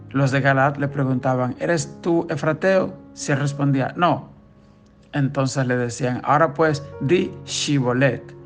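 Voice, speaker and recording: A man with a southern Dominican accent, a pastor giving a daily devotional sermon, reading scripture and explaining it in a conversational preaching tone. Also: medium pitch. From southern Dominican Republic.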